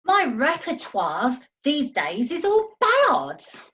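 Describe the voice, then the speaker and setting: A woman's voice: high voice, huge pitch swings, takes it slowly, fairly bright tone, dull audio, heavily acted. A woman playing a haughty, tart, washed-up singer in a comedy radio play. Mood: scheming.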